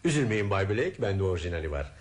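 A man in a radio drama playing a calm, inquisitive middle-aged detective with a measured, polite questioning manner. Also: low-pitched, a little hiss or hum. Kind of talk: 1970s radio drama acting. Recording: radio drama with music behind.

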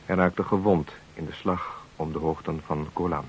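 A man giving a formal, measured, solemn scripted introduction to a radio play as its announcer. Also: very deep voice, fairly even intonation. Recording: hissy old radio recording.

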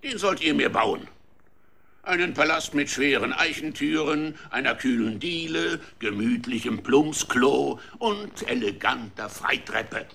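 A man's voice: medium pitch, animated, unhurried, gravelly, bright, forceful tone, heavily acted. An actor playing a bossy, self-important Gothic king in a children's radio drama, pompous and commanding. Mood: imperious.

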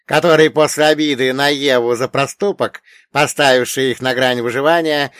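A man voicing a wise, centuries-old dead grandfather in an audiobook, telling old lore in an emphatic, drawn-out, lecturing way. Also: medium pitch, a bit bright, dry recording, studio quality, pitched above the performer's own voice, lightly acted. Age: older. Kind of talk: audiobook character voice.

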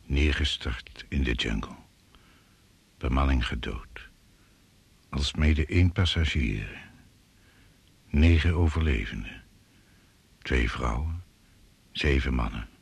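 Grave thriller narrator, very low pitch, swooping intonation, clipped dramatic phrasing, radio play. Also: male voice, expressive, slightly rough, exaggerated voice. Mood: grave, suspenseful. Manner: deliberate, dramatic. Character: grave male narrator of a jungle survival thriller.

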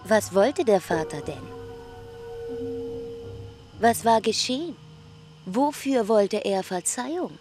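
An actress playing a young village woman who questions her family's plight with a slow, questioning delivery in a radio play. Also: mid-range voice, animated, darkish tone, faint music behind.

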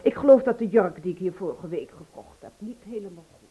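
A woman's voice: on the low side, animated, fast talker, lightly acted. An actress playing a polite woman shop customer in a radio drama. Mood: polite, fussy. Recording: dull, noisy old radio recording.

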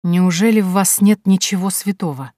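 A woman's voice: fairly low pitch, normal speed, warm tone, no echo, close to an everyday voice. A woman voicing an aging male count who speaks in a cold, clipped, commanding way. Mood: icy anger. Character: stern aging male count, icy and wrathful. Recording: dry close recording.

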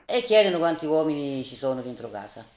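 A woman's voice: deep voice, very animated, a little fast. An older woman with a Roman accent giving spoken testimony as a witness. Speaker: witness recalling wartime. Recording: roomy, noisy archive interview.

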